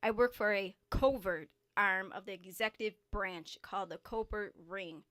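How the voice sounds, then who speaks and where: A composed female government agent in an audio drama, played by an actress, with a crisp, bright voice at a medium pitch. She is formal and deferential, yet earnest and insistent. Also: moderate pace, lightly acted. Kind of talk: amateur audio drama acting.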